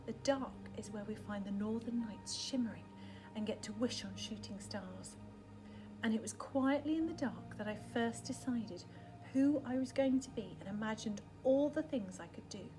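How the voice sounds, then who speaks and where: A woman with a high voice reads a children's picture book aloud in the manner of a primary school teacher. Her delivery is dramatic and expressive, often softening to a near-whisper. Music plays behind her. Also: normal speed, low-quality recording. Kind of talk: expressive children's storybook reading.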